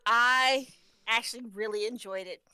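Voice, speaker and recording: A woman's voice: fairly high pitch, moderate pace, bright, forceful tone, clear, resonant tone, no echo. A woman in a casual chat, talking in a blunt, joking, opinionated way with some swearing. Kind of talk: casual after-show chat.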